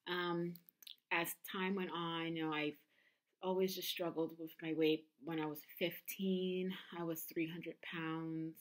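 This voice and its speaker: A woman in her thirties talks candidly to her camera at home, pausing often with ums and restarting sentences. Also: low voice, flat delivery. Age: 30s. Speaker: vlogger. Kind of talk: personal confessional vlog.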